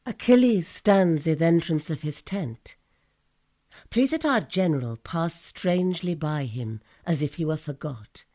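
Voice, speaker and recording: Ulysses, slow, low-voiced crafty strategist. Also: female voice, lively intonation, dark tone. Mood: scheming. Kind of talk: Shakespearean audio drama acting.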